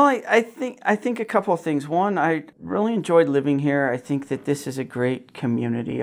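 A man's voice: medium pitch, vocal fry. A man in his forties from Wyoming, answering interview questions as a city council member in an earnest, modest, conversational way. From Wyoming, United States. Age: 40s.

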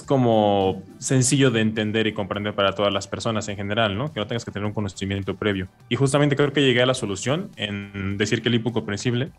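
A man with a Mexican accent, a guest in an interview, explaining ideas in a relaxed way with fillers like "este" and "¿no?". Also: fairly low pitch. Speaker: guest, language teacher. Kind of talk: casual interview answers.

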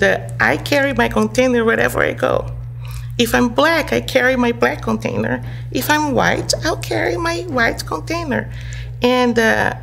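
A woman from Brazil speaks English with a gravelly edge of vocal fry. She talks reflectively as an interview guest, pausing on fillers and restarting phrases as she thinks. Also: on the high side. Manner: thoughtful, with frequent fillers and restarts. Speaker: psychotherapist and humanitarian guest.

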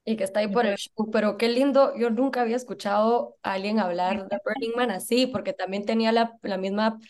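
A woman's voice: medium pitch, steady tone, fairly quick, darkish tone. A woman chatting casually as a podcast host, dropping English words into her talk. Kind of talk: casual chat.

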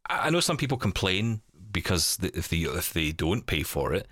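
A man's voice: sits low, big pitch swings, normal speed, fairly bright tone, dry recording, clean studio sound. A man hosting a casual podcast chat, speaking in a conversational, opinionated way. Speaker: podcast host.

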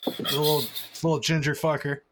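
A man's voice: a little high, level tone, fairly quick, noisy background. A man riffing playfully and profanely in casual banter with friends. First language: English.

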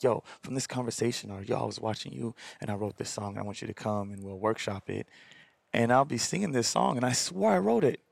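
A man with a gravelly, raspy low voice rambles through casual interview talk as a podcast guest, restarting phrases and leaning on fillers. The sound is close and dry, with no room around it. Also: dry recording. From Hawaii. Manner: rambling, animated, repeats words and fillers. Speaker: podcast guest, dancer and singer.

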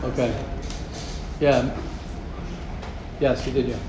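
A man teaching a Torah class, taking questions and acting out quoted gossip in a lively, repetitive way. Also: mid-range voice, takes it slowly. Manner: animated, acts out quoted gossip, repeats words. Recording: echoey room, noisy ambience.

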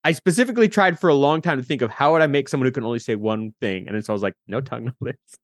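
A man chatting as a podcast guest, telling anecdotes in an animated, rambling way. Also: medium pitch, racing, no echo. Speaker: podcast guest and tabletop player. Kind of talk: casual chat, telling gaming anecdotes.

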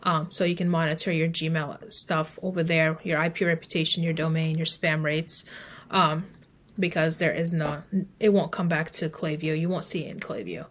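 A woman's voice: low voice, steady tone, moderate pace, fairly bright tone. A woman speaking as an expert guest in a podcast interview, explaining advice conversationally. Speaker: email marketing expert guest.

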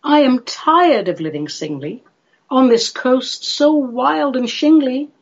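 Small romantic male creature played by a woman, earnest and pleading, slow drawn-out delivery, husky, for nonsense poetry. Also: high-pitched, animated, exaggerated voice. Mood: pleading, lovestruck. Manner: theatrical, pleading, drawn-out phrases. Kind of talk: dramatic comic poetry recital. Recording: dull lo-fi recording.

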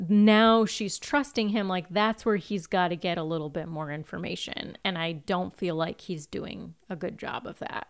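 A woman co-hosting a podcast in casual, animated and opinionated chat, acting out imagined lines as she recaps TV. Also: fairly low pitch, moderate pace, hardly any pauses, smooth. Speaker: podcast co-host.

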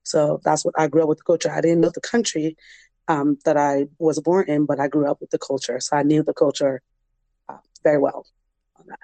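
Young woman telling her story, pretty even tone, close dry sound. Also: low-pitched, a little fast, dry recording. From Liberian, grew up in a refugee camp in West Africa. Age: young adult. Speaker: interview guest, dance program founder. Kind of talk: conversational personal storytelling.

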